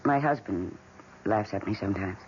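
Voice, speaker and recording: A woman's voice: a bass voice, normal speed, darkish tone. An actress playing a lonely, fragile housewife who is devoted to her houseplants, timid, pleading and wistful, in an old-time radio drama. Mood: shy, fond. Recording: muffled, hissy vintage radio recording.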